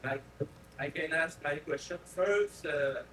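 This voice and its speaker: A man in the audience calling out a question in a fairly high voice. He projects loudly but halts often, filling the gaps with "uh" and "euh". The room around him rings with echo. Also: slight background noise. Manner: loud, halting, full of "uh" and "euh". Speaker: audience member asking a question. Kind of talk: conference Q&A question. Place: conference talk room. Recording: roomy hall sound.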